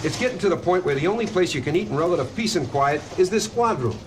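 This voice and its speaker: An actor playing a wry, streetwise New York police lieutenant in a 1970s audio drama, blunt and wisecracking. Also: male voice, high-pitched, expressive, quick pace, noisy background.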